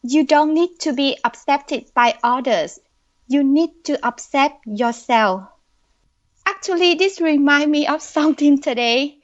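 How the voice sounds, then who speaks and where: A young woman with a Vietnamese accent, speaking slowly and earnestly as a podcast guest. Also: high voice. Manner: slow, careful, earnest speech. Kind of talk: podcast interview answers. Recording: dull lo-fi audio.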